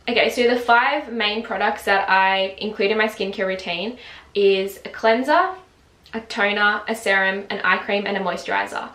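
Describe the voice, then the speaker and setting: A woman's voice: mid-range voice, a bit bright. A woman of twenty chatting casually to camera about her routine at home, using lots of filler words. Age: 20s. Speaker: beauty vlogger. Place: home, just woken up. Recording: roomy echo.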